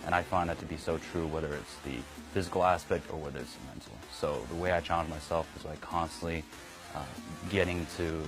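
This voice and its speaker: Very deep-voiced young Marine over music. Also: male voice, fairly even intonation, brisk. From Kazakhstan. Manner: earnest, conversational, many fillers and restarts. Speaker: Marine sergeant. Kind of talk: recruiting interview. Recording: music bed under the voice.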